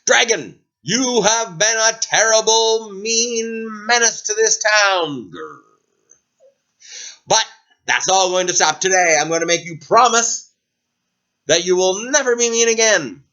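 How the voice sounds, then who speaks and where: A man's voice: sits high, lively intonation, measured pace, bright, forceful tone, pitched above the performer's own voice, heavily acted. A man doing an earnest, bold knight. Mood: proclaiming. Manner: earnest and commanding. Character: scheming brave male knight. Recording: dull lo-fi recording.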